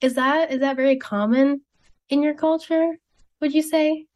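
A young woman from Thailand, hosting a student radio show. She reads a scripted intro, then asks questions casually with soft "um" fillers. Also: sits high, steady tone, normal speed. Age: young adult. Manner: friendly, with soft "um" fillers when asking questions.

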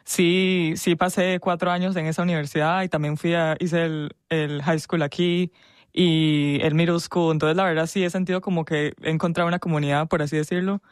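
A woman's voice: on the low side, steady tone, normal speed, no room sound, clean studio sound. A woman, a guest talking casually in an interview, chatty and full of small fillers. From Costa Rica. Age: 20s. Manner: warm, chatty, with frequent laughs and fillers.

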